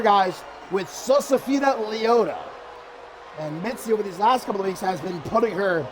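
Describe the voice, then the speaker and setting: A man calling a wrestling match as play-by-play commentator, excitable and shouting at big moves, his voice going hoarse. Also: high-pitched, noisy background. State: losing his voice. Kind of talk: live streamed commentary.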